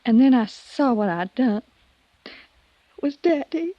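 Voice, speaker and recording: A woman's voice: high-pitched, lively intonation, slow pace, dark tone, heavily acted. An actress playing an earnest, shaken young woman in a 1950s radio drama. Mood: tense, recounting. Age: young adult. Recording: muffled vintage radio recording.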